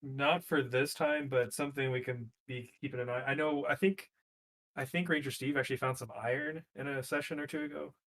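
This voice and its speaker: A man's voice: medium pitch, fairly even intonation, fairly quick, a bit bright. A man in casual role-playing game chat, explaining things in a hesitant, conversational way as a player.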